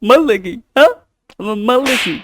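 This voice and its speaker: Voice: mid-range voice, lively intonation, normal speed, lightly acted. A performer voicing a stern, blustering schoolteacher in a comedy cartoon, hectoring with questions. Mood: accusing. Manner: hectoring, repeats questioning tags. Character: stern, blustering schoolteacher scolding a cheating pupil. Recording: music behind the voice.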